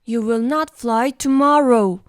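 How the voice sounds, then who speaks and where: A woman's voice: a little high, a little slow, dry recording, studio quality, close to an everyday voice. A woman voicing a blunt, doubting animal friend, emphatic and contradicting, in a children's read-aloud. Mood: dismissive.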